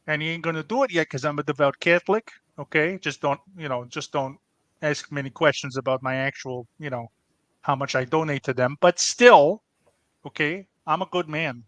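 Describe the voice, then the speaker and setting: A man's voice: on the high side, fairly bright tone, no room sound, lightly acted. A man playing a blustering, defensive small-time crook and pizzeria owner with a Chicago accent, in improvised comedy banter. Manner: blustering, defensive, repeats himself, wiseguy phrasing.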